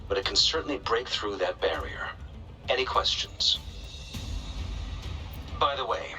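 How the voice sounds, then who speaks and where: Casting: a dry, pragmatic game tech specialist, very sharp forceful tone over a lowish pitch, clipped delivery. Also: male voice, poor audio. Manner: matter-of-fact, clipped technical explaining. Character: brisk, pragmatic male weapons specialist, dryly skeptical. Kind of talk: scripted video game cutscene acting.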